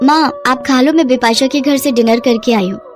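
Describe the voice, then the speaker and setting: A young, high-pitched college girl from a poor home, voiced by a woman. She is modest, polite and wide-eyed, in a children's cartoon-story manner with music behind her. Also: moderate pace, natural voice.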